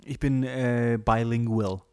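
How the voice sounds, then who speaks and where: A man's voice: mid-range voice, fairly even intonation, slow, a little mellow, clear, resonant tone, no room sound, studio quality. A young man co-hosting a casual podcast chat in German, blunt and profane, prone to ranting. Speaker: podcast co-host.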